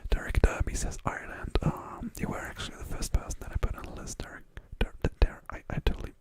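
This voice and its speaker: An ASMR livestream host whispering softly in a rambling, relaxed way while reading viewer messages aloud from a room at home. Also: quick pace.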